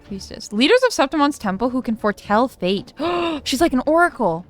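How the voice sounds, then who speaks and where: A woman's voice: high voice, very animated, normal speed. A woman giving casual, reactive gaming commentary, repeating herself and swearing now and then. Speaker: let's player. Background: game music.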